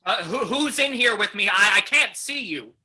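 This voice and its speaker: Male actor as shifty, boozy saloon keeper for radio play: high, swooping voice, stammering bluster, noisy recording. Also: lively intonation, normal speed, sharp tone, exaggerated voice. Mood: rattled, frightened. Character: shifty, hard-drinking saloon keeper rattled by an unseen voice. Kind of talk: old-time radio drama acting.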